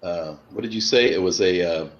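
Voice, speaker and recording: A man's voice: deep voice, normal speed. A man in his late fifties hosts a casual webinar interview, often repeating words as he starts his questions. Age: 50s. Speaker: webinar host. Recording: dull audio with faint noise.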